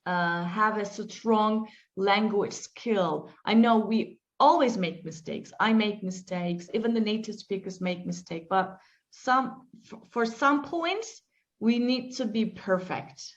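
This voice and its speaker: Woman teacher, unhurried and reflective, muffled call audio. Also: medium pitch. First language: Turkish. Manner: slow, reflective, with frequent fillers and restarts. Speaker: English teacher being interviewed. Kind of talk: interview answers, storytelling.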